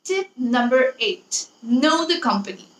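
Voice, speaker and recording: A woman's voice: sits high, lively intonation, unhurried, fairly bright tone. A young woman giving tips in a casual, chatty and playful vlog style, with emphatic delivery. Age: young adult. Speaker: YouTube host giving interview tips. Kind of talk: casual scripted advice vlog. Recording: echoey room with background noise.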